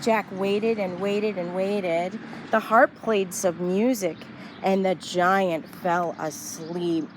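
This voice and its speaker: A woman storyteller narrating for young children in an expressive, emphatic way, often leaning on repeated words. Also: medium pitch, slow, slight background noise.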